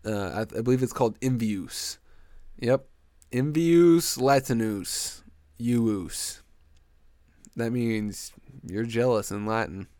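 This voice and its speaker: A man's voice: mid-range voice, slow pace, dark tone, no room sound. A young man hosting a solo podcast, congested and stuffy-nosed, joking crudely and drifting off on tangents. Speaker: podcast host. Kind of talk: rambling solo podcast chat.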